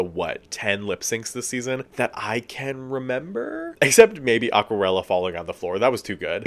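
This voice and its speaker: A man talks through a review in a chatty, expressive way. He fills his talk with casual asides and filler words. His voice sits fairly low. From United States. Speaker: video reviewer. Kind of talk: casual commentary.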